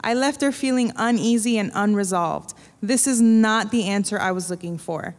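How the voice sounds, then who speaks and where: A woman's voice: medium pitch, normal speed. Woman interpreter reading in a church. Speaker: interpreter translating the testimony. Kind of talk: reading a prepared translation aloud. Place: church stage before an audience. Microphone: stand microphone. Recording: long reverb of a large church hall.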